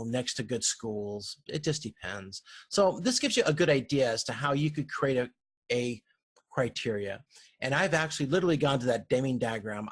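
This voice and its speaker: A man lecturing informally over slides, explaining in a halting way and often correcting himself. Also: medium pitch. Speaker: university lecturer.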